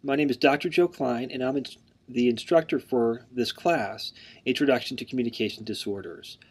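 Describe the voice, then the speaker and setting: A man in his thirties gives an earnest, plain introduction to an online class. His mild stutter shows in repeated words, and he fills his pauses with "um". His voice sits at an ordinary, middle pitch. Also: breathy edge. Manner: mild stutter with word repetitions; frequent "um" fillers, earnest and plain. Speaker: instructor. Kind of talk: online course introduction lecture.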